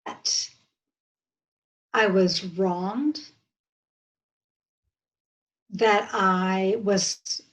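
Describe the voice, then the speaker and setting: A woman of about fifty with an American accent, talking earnestly and haltingly as a guest in an interview, often restarting her sentences. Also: medium pitch, steady tone. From southern United States. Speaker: podcast guest. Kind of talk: reflective interview conversation.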